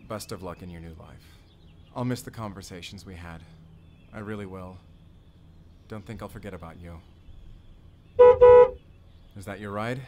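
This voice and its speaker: A man's voice: deep voice, moderate pace, long pauses, slight background noise, lightly acted. Earnest, lovelorn young man acted by a man. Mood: sad, resigned. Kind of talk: audio roleplay acting.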